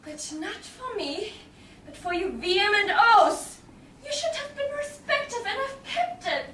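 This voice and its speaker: A woman plays a feisty young wife on a Shakespeare stage, scolding and exclaiming with scorn. The very high, bright voice comes from a distance in an echoey theatre. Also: expressive, a little slow, exaggerated voice. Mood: scornful anger. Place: small indoor theatre stage. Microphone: far audience camera. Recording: roomy, noisy distant audience camera.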